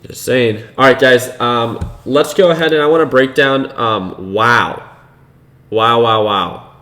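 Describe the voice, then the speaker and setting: A man hosting a live trading stream, chatting casually with viewers in a relaxed, unhurried way, with a soothing voice and plenty of fillers. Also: mid-range voice. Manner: relaxed and unhurried, laughs easily, many fillers. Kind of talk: casual live-stream commentary, reading chat.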